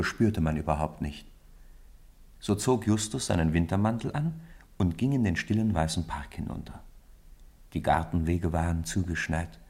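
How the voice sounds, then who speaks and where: Ein Mann trägt als Erzähler eines Hörspiels einen literarischen Text vor. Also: male voice, low voice, darkish tone. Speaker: narrator. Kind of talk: scripted storybook narration for radio.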